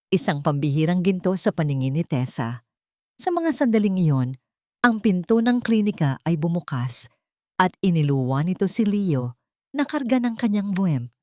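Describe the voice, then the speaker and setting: Low-voiced woman narrating a romance story. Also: a little mellow, dry recording, clean studio sound.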